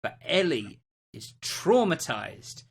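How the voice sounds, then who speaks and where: Podcast co-host, medium pitch, emphatic and opinionated, chatting casually about games. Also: sing-song, slow pace, vocal fry, husky. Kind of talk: casual video game discussion.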